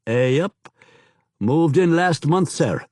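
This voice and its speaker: A man's voice: a little high, expressive, slow pace, warm tone, no room sound, studio quality, voice pushed up. A man doing a coarse old soldier scout. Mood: gruff report. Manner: coarse slangy dialect, deferential. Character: rough-spoken male veteran soldier scout.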